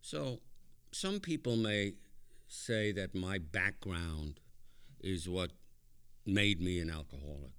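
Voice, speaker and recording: Slow, low-voiced older man speaking. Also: lively intonation, a bit bright, clear, resonant tone. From Hungary. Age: older, born 1944. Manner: halting phrases with pauses, dry joking asides. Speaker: speaker at a recovery meeting, lawyer. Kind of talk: personal testimony speech to a group.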